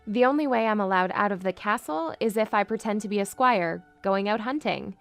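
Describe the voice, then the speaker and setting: A woman's voice: mid-range voice, fairly quick, gravelly, soft background music, close to an everyday voice. A woman playing a spirited young princess in an audio drama, polite but bold in manner. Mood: earnest. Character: spirited young princess posing as a lady's maid. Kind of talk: fan audio drama acting.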